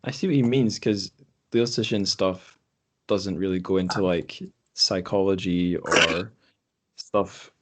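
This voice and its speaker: Male podcast host, lowish pitch, casual book talk, hedging and thinking out loud. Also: steady tone, measured pace, very noisy.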